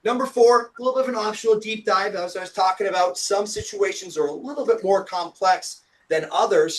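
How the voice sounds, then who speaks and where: A young man, a TV meteorologist, giving an energetic explanatory talk with a slightly hoarse voice. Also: sits high, slight background noise. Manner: energetic explanatory delivery with casual fillers. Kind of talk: presentation lecture.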